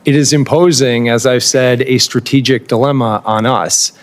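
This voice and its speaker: A man testifying as a government nominee, measured and fluent in policy jargon. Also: medium pitch, fairly even intonation. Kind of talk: formal testimony.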